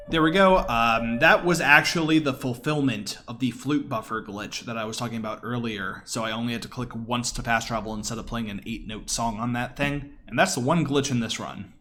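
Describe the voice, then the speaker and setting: Mid-pitched man, casual explanatory speedrunner commentary, faint music behind. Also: fairly bright tone.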